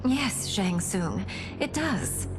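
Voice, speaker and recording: An actress playing a proud, cunning young warrior princess in a video game, deliberate, regal and defiant. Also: female voice, medium pitch, very animated, poor audio. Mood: guarded, composed. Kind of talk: cinematic video game drama acting. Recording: loud cinematic music underneath.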